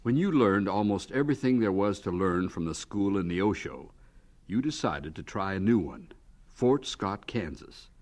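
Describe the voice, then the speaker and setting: A man narrating an old-time radio drama as announcer and formal interviewer, measured and dramatic. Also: sits low, expressive, darkish tone. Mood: measured, inquiring.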